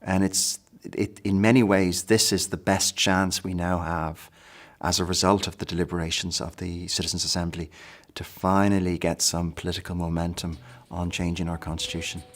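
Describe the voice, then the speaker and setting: Irish man, very low voice, flat delivery, measured, documentary interview. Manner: measured, with fillers and restarts.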